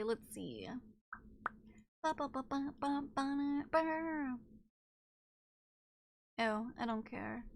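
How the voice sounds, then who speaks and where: A woman streamer chatting casually with her viewers in an animated, teasing way. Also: high-pitched. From not from Japan. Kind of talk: casual live-stream chat.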